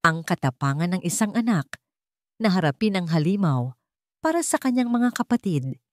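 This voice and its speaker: A woman's voice: on the low side, very animated, moderate pace, slight background noise. A woman voicing a stern, solemn king in a dramatic story, speaking with cold, grave authority. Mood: solemn, reflective. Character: stern, solemn male king passing judgment.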